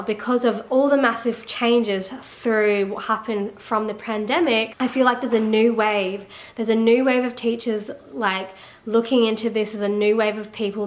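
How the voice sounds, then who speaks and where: Woman, Australian accent, congested, slightly croaky, fairly high voice, chatty, filler-heavy vlog talk. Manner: rambling, filler-heavy, laughs often. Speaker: teacher and online resource seller.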